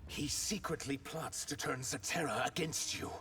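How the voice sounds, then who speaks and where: A man's voice: fairly high pitch, normal speed, bright, forceful tone. A man playing an earnest, loyal warrior in a video game, speaking in a formal, deferential way. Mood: earnest, grave. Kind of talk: video game dramatic acting.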